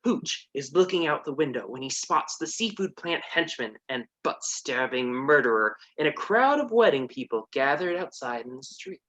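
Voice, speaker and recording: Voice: medium pitch, big pitch swings, normal speed, hardly any pauses, a bit bright, rough recording. A comedian narrating an abridged story with sassy, mock-serious commentary.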